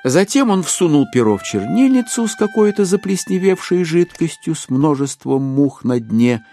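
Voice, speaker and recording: A man's voice: a little high, sing-song, moderate pace, dark tone. A man narrating classic literature in a dramatic style for an audio play. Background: music under the narration.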